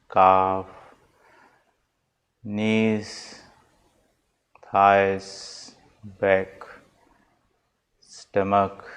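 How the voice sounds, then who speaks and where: Slow, low-voiced man guiding meditation. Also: smooth, low-quality recording. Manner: calm, measured, deliberate phrasing. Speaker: swami leading guided meditation. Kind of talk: slow guided meditation instruction.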